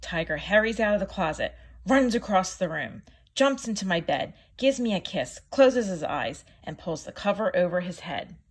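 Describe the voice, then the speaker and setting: Lively, slightly husky woman reading a storybook. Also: lowish voice. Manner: expressive, drawn-out sound words. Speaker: teacher reading a picture book aloud. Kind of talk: animated children's storybook reading.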